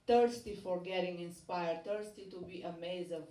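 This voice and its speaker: A woman's voice: fairly low pitch, steady tone, moderate pace, dark tone. A woman from Romania with a Romanian accent, speaking English as her second language as a guest on a talk show, hesitant with many fillers and restarts. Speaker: model and magazine guest. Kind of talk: studio talk show interview. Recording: echoey studio, distant mic, background noise.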